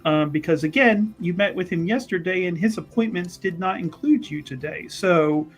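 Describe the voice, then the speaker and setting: A man running a tabletop roleplaying game, improvising narration and dialogue in a hesitant, halting way. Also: sits high, faint music behind. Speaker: game master.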